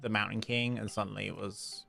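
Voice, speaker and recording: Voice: medium pitch, level tone, fairly quick, gravelly, pushed and bright, soft background music. A game streamer giving casual, offhand commentary while playing, musing aloud.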